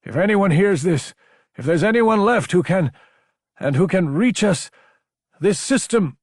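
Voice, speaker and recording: Male actor as desperate man broadcasting a last distress call, sci-fi audiobook; urgent, solemn, husky. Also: sits high, lively intonation, measured pace, no echo, studio quality, pitched above the performer's own voice, heavily acted. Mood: grave, desperate. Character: desperate man in a static-broken recorded distress message. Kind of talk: dramatic audiobook character voice.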